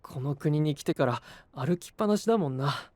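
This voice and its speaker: 男性声優が演じる面倒見のいい気さくな青年. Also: male voice, fairly high pitch, expressive, fairly quick, dry recording, lightly acted. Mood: concerned. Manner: warm-hearted, frank, caring. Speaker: voice actor. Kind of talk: anime voice drama.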